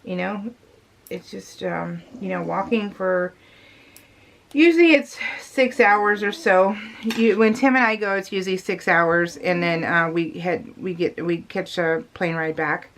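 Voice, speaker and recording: A woman's voice: lowish voice, a little slow, a little hiss or hum. A middle-aged woman vlogger chatting casually in a rambling, emphatic, confiding way. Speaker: craft vlogger. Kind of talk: casual chat.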